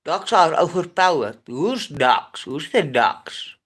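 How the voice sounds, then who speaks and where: Slow, halting South African man. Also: a little high, very animated, fairly bright tone. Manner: hesitant, halting, with frequent pauses and restarts. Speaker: live-stream host. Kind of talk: casual live-stream chat.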